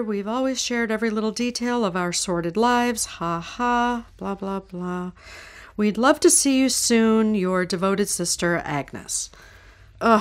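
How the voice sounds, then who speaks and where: An actress playing a haughty, sardonic wealthy woman in an old-time radio drama, with a theatrical, imperious delivery. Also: mid-range voice.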